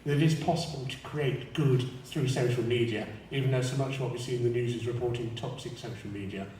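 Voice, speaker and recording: A man's voice: mid-range voice, fast talker, darkish tone. A man giving live panel commentary on a radio show, articulate and thoughtful. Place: large radio theatre with live audience. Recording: very echoey theatre, far from mic, faint noise.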